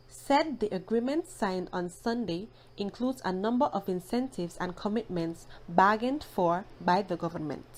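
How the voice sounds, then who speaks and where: A woman's voice: mid-range voice, a little mellow, low-quality recording. A woman reading scripted news as a presenter in a formal, careful manner. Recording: faint ambient noise behind narration.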